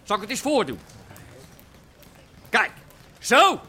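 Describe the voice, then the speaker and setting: An actor playing a rough, foul-mouthed boxing coach in a radio drama, barking orders. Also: male voice, high-pitched, huge pitch swings, takes it slowly, noisy background, full character voice. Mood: urging.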